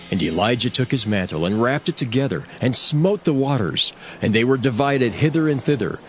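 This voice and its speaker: Very expressive male scripture narrator. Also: medium pitch. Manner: solemn, storytelling. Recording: music behind the voice. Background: prominent background music.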